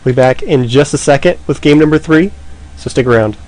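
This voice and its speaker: A man giving live esports commentary, explaining the play in a steady, analytical way. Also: fairly high pitch, fairly quick, low-quality recording.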